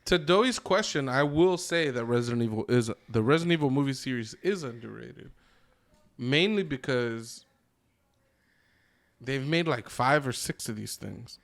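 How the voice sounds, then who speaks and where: A man's voice: medium pitch, lively intonation, moderate pace, vocal fry. A man co-hosting a podcast in casual group chat, giving emphatic opinions and swearing freely. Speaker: podcast co-host.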